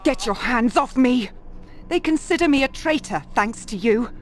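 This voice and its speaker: A high-voiced, defiant warrior woman. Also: expressive, moderate pace. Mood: furious. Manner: urgent, indignant. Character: proud, defiant, fiery young Templar woman. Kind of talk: video game cutscene acting.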